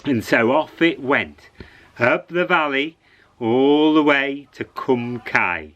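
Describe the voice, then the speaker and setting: A man telling a story to children in an expressive, encouraging way, repeating phrases for dramatic suspense. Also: mid-range voice, slow pace, highs cut off.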